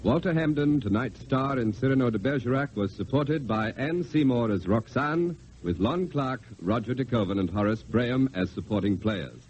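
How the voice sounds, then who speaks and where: A man's voice: medium pitch, expressive, moderate pace, few pauses, a little mellow. A man with a middle-range voice makes formal, polished announcements as host of an old-time radio show. The sound is muffled, with faint hiss behind him. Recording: muffled, hissy vintage radio recording.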